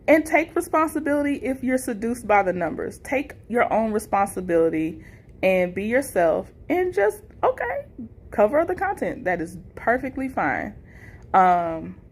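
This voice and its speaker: Woman livestreamer chatting casually. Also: medium pitch. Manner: informal, appreciative, chuckles between remarks. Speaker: livestream host, content creator. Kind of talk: casual livestream chat, reading viewer comments.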